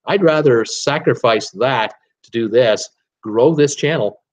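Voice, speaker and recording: A man's voice: medium pitch, measured pace. Chatty man in his sixties on a webcam. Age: 60s. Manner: rambling, chatty, frequent "uh" fillers, animated hand gestures. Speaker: cruise travel YouTuber hosting a livestream. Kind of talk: casual live-stream chat reading viewer comments. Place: home office with shelves of cruise mugs, indoors.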